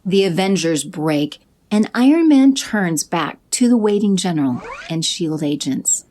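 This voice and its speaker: A woman giving even, descriptive scripted narration for an audio drama. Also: lowish voice, unhurried.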